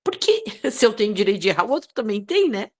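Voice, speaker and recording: A woman's voice: mid-range voice, sing-song, fast. A Brazilian woman giving a reflective spoken contribution in a spiritual group discussion, often adding tag questions. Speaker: study group participant.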